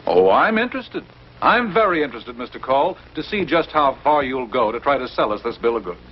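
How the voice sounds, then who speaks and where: A man's voice: mid-range voice, big pitch swings, fairly quick, lightly acted. A man playing a scoffing big-city newspaperman in an old 1950s television drama, sarcastic and mocking. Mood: sardonic, scoffing. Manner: sarcastic, mocking, theatrical when reading aloud. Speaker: actor in a TV drama. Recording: dull, noisy old TV soundtrack.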